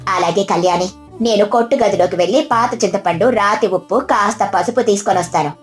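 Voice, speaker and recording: A woman voicing a sensible, caring elder sister-in-law with a measured, gently scolding manner in a storybook tale. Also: mid-range voice, soft background music, close to an everyday voice. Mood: concerned.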